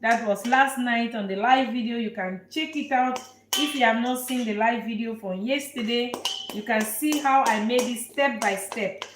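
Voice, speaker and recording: A woman chef from Nigeria, hosting a live cooking stream and chatting warmly with viewers. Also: on the high side, moderate pace, reverberant, noisy background. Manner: warm, repetitive, answers viewer questions. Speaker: chef and channel host. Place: restaurant kitchen.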